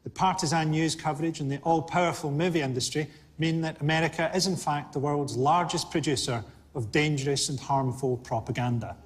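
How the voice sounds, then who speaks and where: A Scottish man hosting a comedy panel show, delivering dry, deadpan jokes in a TV studio. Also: fairly high pitch, brisk. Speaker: host. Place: TV studio with audience. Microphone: lapel mic.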